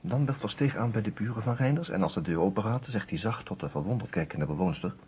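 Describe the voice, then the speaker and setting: Low, animated male storyteller. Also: fast talker. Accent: Netherlandic Dutch. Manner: suspenseful storytelling. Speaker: narrator. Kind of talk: scripted radio play narration. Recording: muffled, slightly noisy old radio recording.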